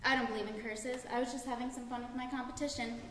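A young woman plays a defensive actress in a stage play. Her high voice is curt and sarcastic. It echoes in a theater hall with some background noise. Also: steady tone, fast talker, a bit bright, natural voice. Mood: defensive, indignant. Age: young adult. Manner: curt, indignant, incredulous. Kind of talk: live stage play acting. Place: theater stage with audience, far camera.

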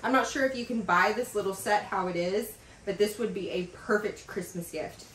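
A woman in her thirties chatting in a casual vlog as she unboxes packages in a home office, gushing and excited, with lots of fillers. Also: medium pitch, normal speed, recorded from a distance, a little hiss or hum. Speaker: vlogger. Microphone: camera across a desk. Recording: roomy sound from off the mic.

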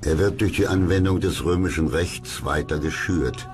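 Casting: male history narrator, extremely low voice, measured and factual, music bed behind. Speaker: documentary narrator. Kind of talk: scripted history documentary narration. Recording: clear music under the voice.

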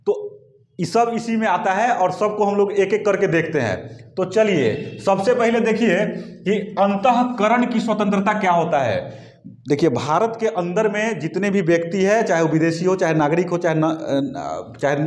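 Bihari guy teaching a class, pretty high voice, echoey room, talks straight to students in everyday words. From Bihar, India. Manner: colloquial, repetitive, addressing students directly. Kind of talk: explanatory lecture at a whiteboard. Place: small indoor classroom with whiteboard. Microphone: lapel mic.

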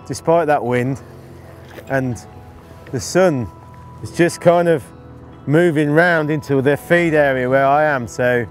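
Middle-aged male angling presenter, relaxed and instructional, mid-pitched, halting delivery, recorded outdoors with music behind. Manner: unhurried, halting phrases while busy. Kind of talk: relaxed instructional commentary while fishing. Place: outdoors on an open lakeside bank.

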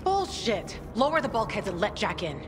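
A woman's voice: medium pitch, big pitch swings, normal speed, close to an everyday voice. An actress playing a tough, vengeful, defiant young female fighter in a video game. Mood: angry. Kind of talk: dramatic video game acting.

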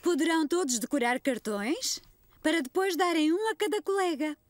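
An actress with a European Portuguese accent voicing an encouraging young preschool teacher in a children's cartoon. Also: female voice, high voice, normal speed, dry recording, clean studio sound, lightly acted.

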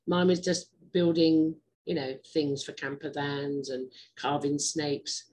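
Chatty woman host, slightly low voice. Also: little pitch movement, takes it slowly, a little mellow, slight background noise. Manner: chatty, digressive, laughs easily. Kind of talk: casual interview chat.

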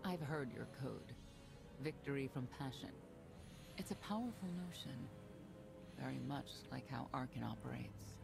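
Casting: seasoned woman knight for a game, guarded and measured, with a creaky edge over music. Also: rumbling low, animated, exaggerated voice. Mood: calm, wry. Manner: measured, serious. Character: composed, guarded middle-aged female knight. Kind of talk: video game voice acting.

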